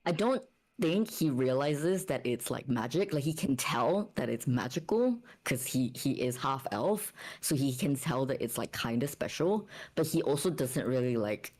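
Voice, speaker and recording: A woman playing a tabletop game, casually narrating her character's actions while thinking aloud in a halting way. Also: extremely low pitch, expressive, a little mellow.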